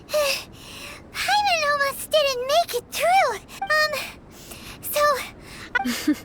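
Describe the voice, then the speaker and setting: A woman voicing a tiny childlike fairy companion in a video game, squeaky, excitable and easily alarmed. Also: very slow, background noise, heavily acted. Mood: whiny, exhausted. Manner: squeaky, refers to self in third person.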